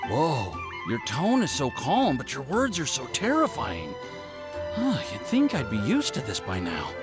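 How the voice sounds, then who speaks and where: A man's voice: mid-range voice, wildly swooping pitch, a little slow, over music. A man voicing a hesitant, awkward mild-mannered male alchemist in a game cutscene. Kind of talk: video game cutscene dialogue.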